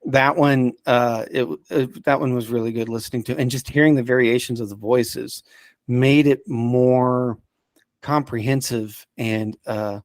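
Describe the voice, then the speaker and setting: A man with a mid-pitched voice talks casually as a guest giving recommendations. He is keen but rambles, pausing often to hunt for the right word. The sound is close and dry, with no room echo. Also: steady tone. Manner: halting and rambling, searching for words. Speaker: podcast guest reviewing audio dramas. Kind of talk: casual unscripted chat, recommendations.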